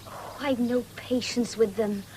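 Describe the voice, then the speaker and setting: An actress as a high-voiced young farm girl. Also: steady tone, measured pace, dark tone, lightly acted. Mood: inquisitive, impatient. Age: young adult. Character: keen young farm girl, forthright yet polite. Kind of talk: 1970s British radio drama acting. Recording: noisy old radio broadcast recording.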